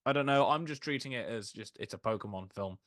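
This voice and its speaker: A man hosting a casual film discussion, recapping in a rambling way with animated asides. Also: mid-range voice, fast talker, dry recording, studio quality. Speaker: podcast host.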